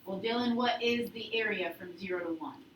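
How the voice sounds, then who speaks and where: A woman teaching high school calculus to a class, instructive, often checking understanding with short questions and prompts. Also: fairly quick. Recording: echoey classroom, distant mic, faint noise.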